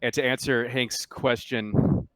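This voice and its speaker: A man working as a game master, casually narrating scenes and explaining rules in a halting way as he searches for words. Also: mid-range voice, fairly even intonation, fairly bright tone, no echo.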